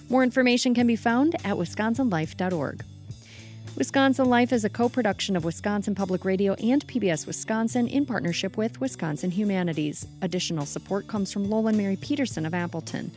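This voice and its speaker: A woman radio producer introducing and closing a segment in a measured, clear public radio style. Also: medium pitch, darkish tone.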